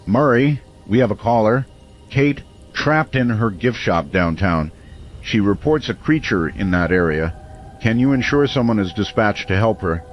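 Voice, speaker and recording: An actor playing a community radio host during an emergency in an audio drama, measured, earnest and reassuring. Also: male voice, on the low side, animated. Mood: concerned, composed. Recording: dull lo-fi radio sound, faint noise.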